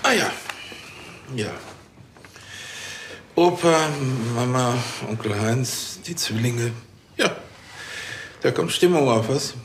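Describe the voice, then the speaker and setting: A man's voice: medium pitch, animated, slow pace, pauses often, a little hiss or hum. An actor playing a self-important, bumbling policeman who stammers and gets huffy. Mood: flustered.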